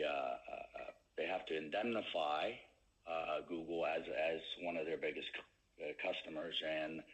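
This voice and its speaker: A man speaking as a company executive answering questions on an earnings call, with halting phrasing and many pauses. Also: sits low, fairly bright tone, low-quality recording.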